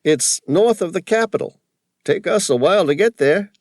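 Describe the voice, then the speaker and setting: A man's voice: high-pitched, animated, normal speed, a little mellow, dry recording, studio quality, pitched above the performer's own voice. A man voicing a chatty middle-aged male cab driver with a broad East Coast accent. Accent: broad East Coast American. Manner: chatty, folksy.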